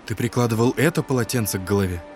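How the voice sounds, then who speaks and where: An actor playing a gentle, devout and anxious younger brother, speaking earnestly and soothingly in an audio drama. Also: male voice, lowish voice, rapid-fire, faint music behind, close to an everyday voice. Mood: anxious concern.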